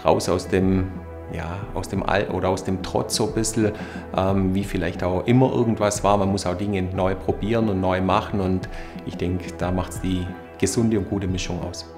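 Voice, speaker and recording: A man's voice: deep voice, fairly even intonation, normal speed. A man speaking in an interview for a club promotional film. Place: plain indoor studio. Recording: music clearly behind the voice.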